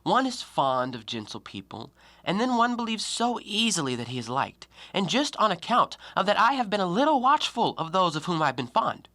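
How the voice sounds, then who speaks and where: Audio drama: male actor for a self-righteous, scornful intellectual man; bright voice, precise argumentative diction, very dry close sound. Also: high voice, very animated, normal speed, no room sound, heavily acted. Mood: wary, reasoning. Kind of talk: audio play acting.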